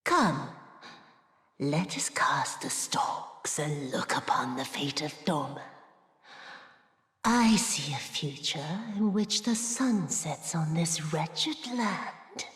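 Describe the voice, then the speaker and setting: A vengeful game goddess of the moon in a cavernous, reverberant wash. She declaims very slowly and grandly, cold and haughty, with menace that swings through wide pitch. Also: animated, exaggerated voice. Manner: grandiose, slow, dramatic declaiming, swelling into shouts. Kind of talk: theatrical video game villain acting.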